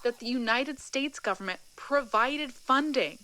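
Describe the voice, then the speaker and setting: A woman's voice: high voice, measured pace, background noise. An actress playing an indignant, alarmed female political commentator in an audio drama, emphatic in her delivery. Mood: indignant, urgent.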